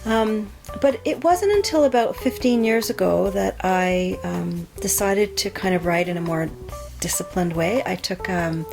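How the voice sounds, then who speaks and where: A woman's voice: mid-range voice, measured pace, creaky, music in the background. A middle-aged woman being interviewed as an author, answering thoughtfully with frequent ums and restarts. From Canada. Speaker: author guest.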